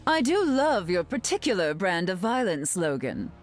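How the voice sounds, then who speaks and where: A woman's voice: on the low side, sing-song, moderate pace, soft background music. An actress playing a haughty, sardonic, glamorous telepathic queen in a video game, drawling with dry mockery. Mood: imperious, mocking. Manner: haughty, drawling, dryly mocking. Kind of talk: video game character voice lines.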